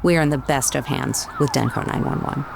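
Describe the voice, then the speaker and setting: A woman delivering a promotional testimonial in measured, formal sentences. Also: very deep voice, vocal fry, background noise. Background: background music.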